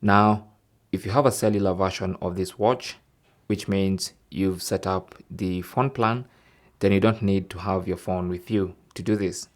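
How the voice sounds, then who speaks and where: A man's voice: low-pitched, fairly even intonation, unhurried. A man presenting an instructional tech tip, explaining step by step and often checking in with the listener. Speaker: tech tutorial host.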